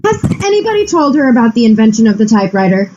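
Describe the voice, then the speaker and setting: A woman's voice: fairly high pitch, big pitch swings, fast, muffled recording, noisy background. A woman playing an irritable actress in an old radio cast, sarcastic and complaining. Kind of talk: amateur stage acting over video call. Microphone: video call.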